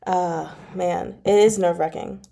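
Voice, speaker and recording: A woman with a slightly low voice hosts a relaxed livestream chat. She talks informally with viewers, drifting into giggly asides as she answers their comments. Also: a little slow, gravelly, slight background noise. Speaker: livestream host reading chapters aloud and chatting with viewers.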